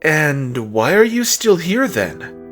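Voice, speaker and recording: A man voicing a gentle, frank cult follower creature in a comic dub, talking in a conversational, reassuring way. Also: fairly high pitch, big pitch swings, takes it slowly, faint music behind, pitched above the performer's own voice. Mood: frank, coaxing.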